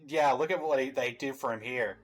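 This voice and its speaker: A man's voice: medium pitch, fairly quick. A man hosting a reaction video, chatting casually and jokingly with a co-host. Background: music from the trailers.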